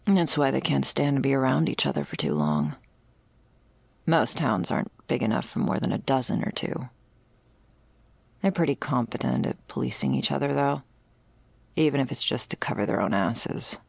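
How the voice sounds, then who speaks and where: A woman playing a jaded, sardonic servant of vampires in an intimate ASMR roleplay, husky and deadpan, close to the microphone. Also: a bass voice, moderate pace, long pauses, no echo, exaggerated voice. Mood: weary, explaining. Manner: dry, deadpan, sarcastic, foul-mouthed. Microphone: close, dry microphone.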